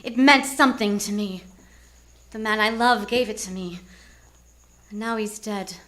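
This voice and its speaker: Female lead actress: 1940s radio dame, mid-pitched, bright sharp tone, proud, secretive, anxious. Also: a little slow, natural voice. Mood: wounded, proud. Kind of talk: 1940s radio noir acting. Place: live radio drama on a theatre stage.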